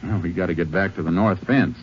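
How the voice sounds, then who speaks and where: An actor playing a laconic, shrewd Texas Ranger in an old-time radio western, with an American accent and terse, folksy cowboy talk. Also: male voice, sits low, fast, slight background noise, close to an everyday voice. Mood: easygoing. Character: laconic Texas Ranger, shrewd, steady lawman posing as drifter. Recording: muffled vintage radio recording, music behind.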